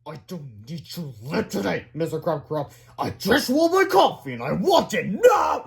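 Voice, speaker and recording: A reader voicing a grumpy middle-aged male school principal who shouts and huffs. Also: mid-range voice, animated, moderate pace, vocal fry. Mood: furious. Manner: shouting, huffing, bellowing.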